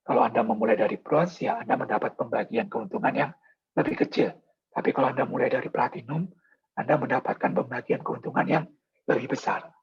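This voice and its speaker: Hoarse man, gravelly husky voice. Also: medium pitch. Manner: polite, explanatory, many filler pauses. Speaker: product presenter. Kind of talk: sales presentation in a video meeting. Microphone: video call. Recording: dull lo-fi video call audio.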